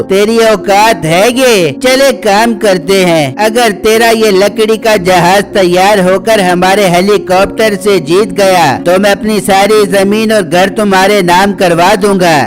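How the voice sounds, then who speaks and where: A man's voice: sits high, unhurried, hardly any pauses. A man voicing a landlord's arrogant, spoiled son who taunts and boasts in a Hindi cartoon. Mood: mocking, boastful.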